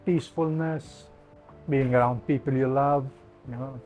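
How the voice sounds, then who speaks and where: A man in his fifties from Malta, a non-native English speaker, talking casually and haltingly in an interview. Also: mid-range voice, fairly even intonation, a little mellow, soft background music, rough recording. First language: not English. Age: 50s. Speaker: cafe owner, interviewee.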